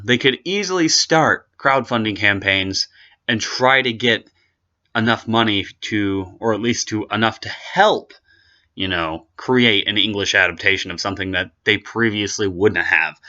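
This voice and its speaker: A man's voice: fairly low pitch, sharp tone, muffled recording. A man hosting an anime commentary video, giving his opinion in a rambling, casual way full of restarts and asides.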